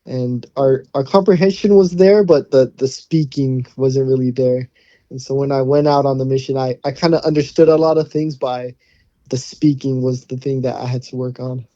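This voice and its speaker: A young man from Hawaii talks easily as a podcast guest, circling back with fillers and restarts. His voice is very dark and mellow and quite flat in pitch. The sound is dry and a little dull. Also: normal speed, no echo. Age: young adult. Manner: easygoing, many fillers and restarts. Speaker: guest, college football player. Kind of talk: casual interview answers.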